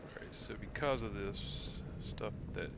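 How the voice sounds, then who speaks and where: A man streaming a video game, thinking aloud in casual, muttered commentary with loud noise behind him. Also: on the low side, unhurried. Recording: loud background noise over the voice.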